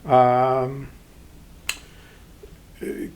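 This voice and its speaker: Middle-aged man from the border, slow and hesitant, interview guest. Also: medium pitch, monotone, a little mellow, clear, resonant tone. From Texas-Mexico border. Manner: hesitant, many pauses and fillers. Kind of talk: interview conversation.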